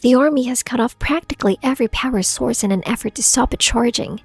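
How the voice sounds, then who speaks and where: A woman playing a stubborn, determined researcher in a fan-dubbed game story, terse and resolute with a husky, creaky voice. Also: a little high, fast, no room sound. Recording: faint background music.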